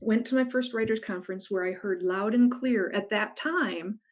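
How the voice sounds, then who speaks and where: A woman's voice: mid-range voice, normal speed. A middle-aged woman author chatting enthusiastically over a video call about her writing. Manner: enthusiastic, animated storyteller. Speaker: guest author. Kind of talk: casual interview chat.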